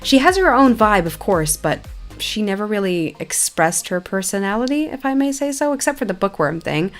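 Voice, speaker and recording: A woman's voice: medium pitch, big pitch swings, normal speed. A woman hosting a gameplay video in a chatty, enthusiastic way, giving upbeat commentary. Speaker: YouTube gaming host. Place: indoor voice-over over game footage. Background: background music.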